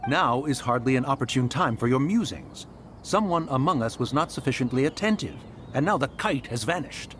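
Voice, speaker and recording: A man's voice: mid-range voice, expressive, moderate pace, fairly bright tone, a little hiss or hum, lightly acted. Man playing a stern, scolding ancient sage. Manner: archaic, scolding. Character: stern ancient male adeptus, irritable. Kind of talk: game voice acting.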